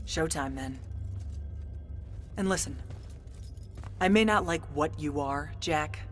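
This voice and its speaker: An actress playing a steady, frank battlemage commander, speaking in an earnest, direct way in a serious video game cutscene. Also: female voice, low voice, slow pace, soft background music.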